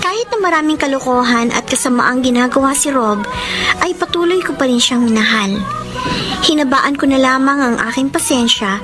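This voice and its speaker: A woman's voice: high voice, close to an everyday voice. An actress playing a jealous, long-suffering, combative girlfriend in a Filipino radio drama, emotional and scolding. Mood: sorrowful narrating.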